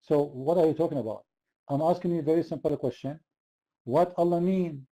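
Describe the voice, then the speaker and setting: Man, debate host, high voice, very dark mellow tone, insistent, repeats himself. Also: a little fast, low-quality recording. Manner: insistent, repeats the same question. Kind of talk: heated online religious debate.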